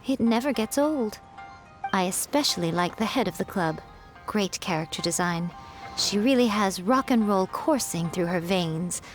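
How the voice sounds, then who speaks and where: A woman's voice: medium pitch, animated, moderate pace, faint music behind, natural voice. A woman playing a poised, sly lady publisher in a video game, self-assured, composed and teasing. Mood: approving.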